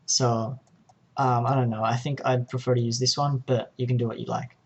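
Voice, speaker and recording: A man narrating an informal software tutorial, explaining each step in a hesitant way. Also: mid-range voice, level tone, moderate pace, gravelly, husky, highs cut off. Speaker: tutorial presenter.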